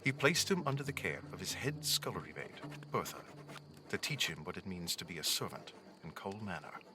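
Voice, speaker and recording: A man's voice: deep voice, lively intonation, brisk, noisy background. A man delivering scripted story narration for an audio drama.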